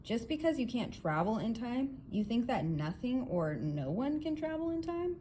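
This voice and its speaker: A woman's voice: fairly high pitch, very animated, normal speed, dark tone, pitched above the performer's own voice. Emphatic, confident male inventor, read by a woman. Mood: patient, insistent. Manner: emphatic, explanatory, theatrical. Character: earnest, confident male inventor lecturing his guests. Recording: clear background noise.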